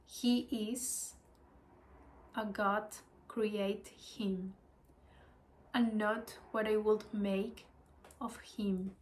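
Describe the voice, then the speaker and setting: A woman whose first language is Spanish, with a Spanish accent, reading spiritual lessons slowly and hesitantly, adding her own reflections. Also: medium pitch, little pitch movement, darkish tone, rough recording. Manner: hesitant, apologetic, with small laughs and restarts.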